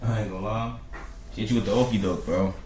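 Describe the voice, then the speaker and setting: A man with a lowish voice chats loosely in slang, full of fillers, as he reacts to a video. His voice bounces around an echoey room with a faint hiss underneath. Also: steady tone, quick pace, darkish tone. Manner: laid-back slangy, lots of fillers. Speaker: reaction host. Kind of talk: casual reaction commentary.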